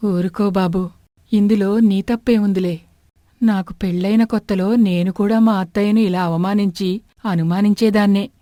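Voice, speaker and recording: Elderly village mother-in-law, voiced by an actress: dark, mellow tone, gentle reproach, cartoon moral tale, dry recording. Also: mid-range voice, steady tone, normal speed, no echo, natural voice. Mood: forgiving, hurt. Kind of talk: animated moral story acting.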